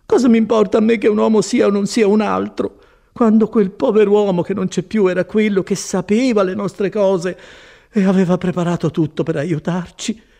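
A man's voice: high-pitched, expressive, normal speed, warm tone, voice pushed up. A man voicing a distressed, pleading peasant woman who laments in an audiobook story. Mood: impatient. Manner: plaintive, lamenting. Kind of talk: dramatized audiobook reading.

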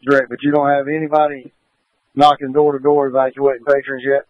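A man's voice: medium pitch, completely flat delivery, dry recording. Man commanding over a phone-thin radio. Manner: polite, directive, halting. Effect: two-way radio. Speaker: fire incident commander. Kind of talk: radio traffic at a fire scene. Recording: phone-like narrow-band radio transmission.

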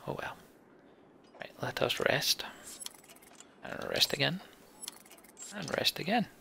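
A man gives game commentary and reads text aloud in a casual way. His voice is very creaky yet bright and forward, with faint noise behind it. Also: on the high side, expressive, slow pace. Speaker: let's player.

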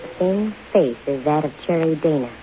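A woman's voice: low-pitched, animated, moderate pace. An actress playing a bold, dryly wisecracking female private investigator in a 1950s radio detective drama. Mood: reflective. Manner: dry, wisecracking. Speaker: lead actress and first-person narrator of a detective radio show. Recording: hissy, muffled old radio recording.

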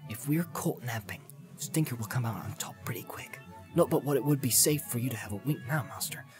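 A man voicing an earnest, loyal young hobbit servant in an audiobook, talking plainly and often hushed, with music underneath. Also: medium pitch, lively intonation, a little fast, close to an everyday voice. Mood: hushed, tender.

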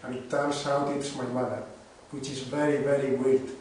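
A man's voice: medium pitch, flat delivery, normal speed, a little mellow, natural voice. A man with a Spanish accent playing a wisecracking, self-mocking young man in an amateur script reading. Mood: storytelling. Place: wooden-floored room indoors. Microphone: far camera. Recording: echoey room, distant mic, faint noise.

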